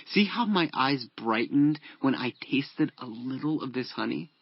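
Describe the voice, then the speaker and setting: An actor playing a candid young prince in a dramatized audio Bible, speaking earnestly and plaintively. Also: male voice, mid-range voice, animated, unhurried, creaky, slightly rough, no echo, dull audio, heavily acted. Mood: frank, aggrieved.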